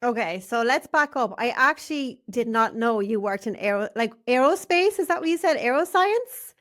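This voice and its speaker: A woman's voice: on the high side, lively intonation, moderate pace, fairly bright tone. Host, female, effusive and upbeat, interviewing a guest on a studio mic. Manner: bubbly, enthusiastic, laughs often. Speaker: podcast host. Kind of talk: conversational podcast interview. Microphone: studio microphone.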